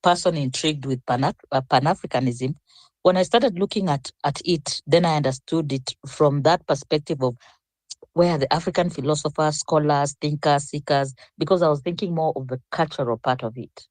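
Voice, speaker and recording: A woman's voice: sits low, moderate pace, darkish tone, no echo. An older woman speaking as a panelist in an online discussion, reflective and rambling with frequent restarts.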